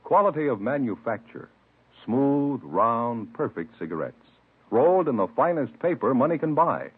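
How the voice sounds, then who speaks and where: A man's voice: mid-range voice, sing-song, moderate pace, slightly rough, phone-quality sound. A man announcing a 1950s radio commercial in an emphatic, salesmanlike style. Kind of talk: 1950s radio cigarette advertising pitch. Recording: band-limited vintage radio recording.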